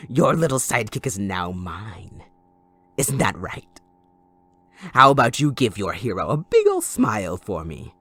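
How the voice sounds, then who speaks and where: A man playing a sadistic, possessive supervillain kidnapper in an audio roleplay, taunting theatrically and mock-sweetly, moving between hushed menace and outbursts. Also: on the low side, huge pitch swings, measured pace, breathy edge, no echo, exaggerated voice. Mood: gloating, menacing. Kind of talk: dramatic audio roleplay monologue.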